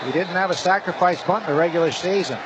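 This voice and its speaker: A man with a fairly high voice calls a baseball game live for radio. He speaks in short, descriptive play-by-play phrases, with clear ballpark noise behind him. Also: quick pace. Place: ballpark broadcast booth. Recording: noisy live stadium broadcast. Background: clear stadium ambience.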